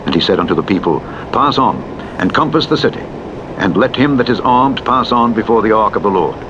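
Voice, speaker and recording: Low-voiced man reading scripture over loud engine noise. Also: expressive, a little fast, highs cut off. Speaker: Bible narrator. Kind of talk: solemn scripture reading, audiobook narration. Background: truck driving simulator engine and road noise.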